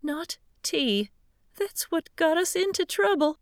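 A woman voicing an elderly, frightened woman who pleads in a quavering voice that rises high when upset. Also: big pitch swings, takes it slowly, dry recording, clean studio sound, exaggerated voice. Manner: breathless, pleading, rising high on upset words.

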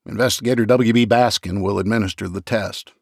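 A man's voice: lowish voice, fairly even intonation, fairly quick, creaky, a little mellow, husky, dry recording, clean studio sound. A man voicing a blunt, firm police investigator asking curt questions.

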